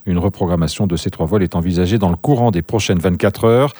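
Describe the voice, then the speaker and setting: A man anchoring a radio newscast, reading the news in a steady, measured way. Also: low voice, no room sound, studio quality. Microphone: studio mic.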